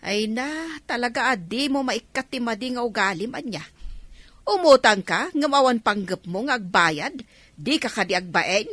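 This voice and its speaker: A woman's voice: on the high side, expressive, moderate pace, fairly bright tone, no room sound. A woman with an Ilocano accent playing a long-suffering village mother who admonishes her grown daughter in a radio drama. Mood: reproving. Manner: admonishing, exasperated.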